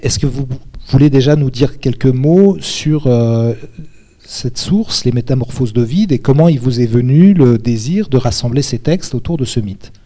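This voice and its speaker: A man moderating an onstage interview at a translation conference, halting and hesitant, with many pauses and restarts. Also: medium pitch, lively intonation, mellow, no room sound.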